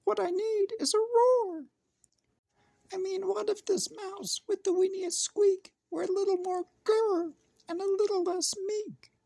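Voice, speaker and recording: A woman voicing a tiny, timid male mouse in a storybook, with a squeaky, breathy, high voice. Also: fairly even intonation, unhurried, mellow, no echo, full character voice. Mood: excited. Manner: nervous, whispery pleading. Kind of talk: storybook reading.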